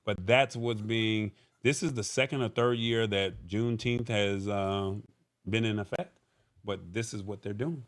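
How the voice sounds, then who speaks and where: A man's voice: fairly low pitch, fairly even intonation, a little slow. A man hosting a live show in casual talk, conversational and thinking aloud as he goes. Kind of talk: casual livestream talk, reading viewer comments.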